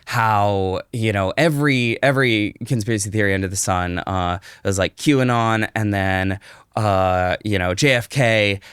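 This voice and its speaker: A man with a clean, resonant voice on the lower side speaks close to a podcast mic in a dry room. He tells and explains things conversationally, often searching thoughtfully for the next word. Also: a little slow, fairly bright tone, clean tone, dry recording. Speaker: podcast guest. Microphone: close podcast microphone.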